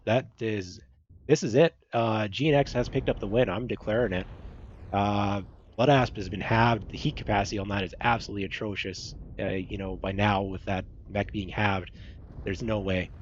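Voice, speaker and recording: Man, low voice, muffled lo-fi stream audio, esports play-by-play. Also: slight background noise. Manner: measured, many fillers. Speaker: esports caster. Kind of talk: play-by-play commentary.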